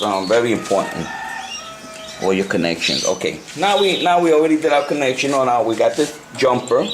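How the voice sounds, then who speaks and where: A man with a Puerto Rican accent, a native Spanish speaker, gives a casual hands-on tutorial as a hobbyist, halting, repeating and correcting himself as he goes. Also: medium pitch. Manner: halting, repetitive, self-correcting. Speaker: amateur radio hobbyist giving a tutorial. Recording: noisy amateur camcorder sound.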